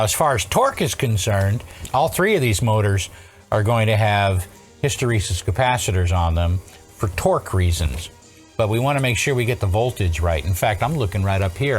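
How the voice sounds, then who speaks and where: A man's voice: lowish voice, expressive, normal speed, faint music behind. A man chatting casually as a repair technician, thinking aloud, asking questions and teasing dryly while he works. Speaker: vintage audio repair technician. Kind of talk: casual hands-on repair chat, bantering.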